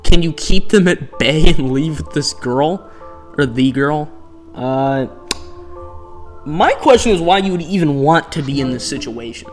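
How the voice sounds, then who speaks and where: A man's voice: a little high, expressive, a little slow, soft background music. Sarcastic, exasperated male streamer. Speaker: streamer playing a game. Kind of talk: live gameplay commentary.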